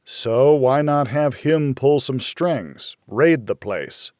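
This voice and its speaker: A man voicing a terse, deadpan, evasive veteran in a noir audiobook. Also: mid-range voice, takes it slowly, dry recording, studio quality, pitched above the performer's own voice. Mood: guarded, casual. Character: hardboiled, evasive male veteran, drug user, terse.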